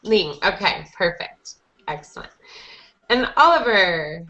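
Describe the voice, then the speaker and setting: A young woman teaches conversational English in an encouraging manner. Her voice is bright and sits at a middling pitch. It comes through a headset on a video call, with a muffled, lo-fi edge. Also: sing-song, slow. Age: young adult. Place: small indoor room, group video call. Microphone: headset boom mic.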